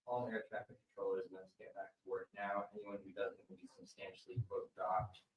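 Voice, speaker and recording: A man's voice: sits low, little pitch movement, a little fast, muffled recording. A male reporter at a press conference, away from the microphone in an echoey room, reading out material for a question. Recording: echoey, distant, faint room noise.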